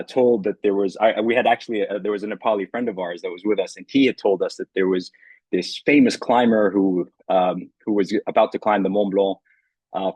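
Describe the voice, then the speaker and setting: A man's voice: lowish voice, a little mellow, no echo, rough recording. A man hosting a live online Q and A, talking in a hesitant, rambling way. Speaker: host.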